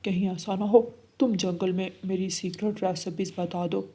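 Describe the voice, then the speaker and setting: An earnest grown male bird from a jungle cartoon, put on by a woman, with a husky edge to the voice. He talks firmly and in measured phrases, in a lowered tone. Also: little pitch movement, warm tone. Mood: stern, worried. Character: earnest adult male birds of a jungle village.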